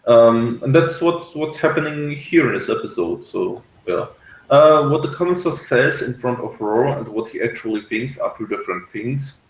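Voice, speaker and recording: A man reviewing a TV episode in casual, analytical discussion, speaking haltingly as he searches for words. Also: mid-range voice, animated, echoey room, rough recording. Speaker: podcast reviewer.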